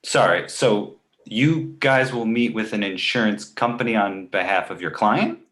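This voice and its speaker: A man playing a precocious, sarcastic baby boy with a dry, mock-innocent way of needling, in an animated comedy table read. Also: lowish voice, a little slow. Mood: dry, curious. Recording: echoey room.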